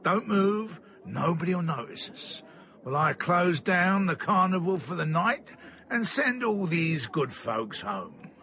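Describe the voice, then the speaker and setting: A man's voice: on the high side, very animated, a little slow, bright, forceful tone, highs cut off, slight background noise, heavily acted. A man playing a menacing, silky carnival master in a radio drama, theatrical and taunting, savouring each word. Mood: taunting, threatening.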